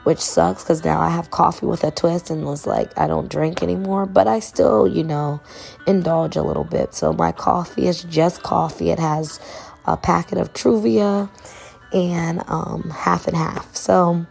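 Podcast host, female, hoarse, loose rambling delivery, very gravelly, faint music under. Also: fairly low pitch, measured pace. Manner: rambling, relaxed, colloquial. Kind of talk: casual solo podcast chat.

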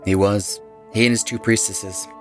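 A calm, occult-minded rock musician, voiced by a man speaks in a low, earnest tone about hidden energies. Faint music plays underneath him. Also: normal speed, lightly acted.

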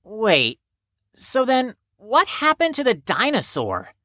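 A woman's voice: on the high side, wildly swooping pitch, slow pace, fairly bright tone, dry recording, studio quality, exaggerated voice. A woman playing a formal, pedantic, earnest psychiatric patient in an audio drama, with precise, stilted, wordy speech. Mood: puzzled.

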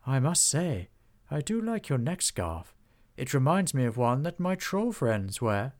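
A man's voice: mid-range voice, very animated, voice pushed up. A man doing a stately, gracious she-dragon. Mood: friendly. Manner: slow, measured and courteous. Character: gracious ancient female dragon, slow and stately.

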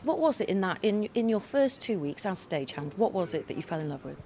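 A woman interviewing a guest, enthusiastic and quick to exclaim in surprise. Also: mid-range voice, sing-song, mellow.

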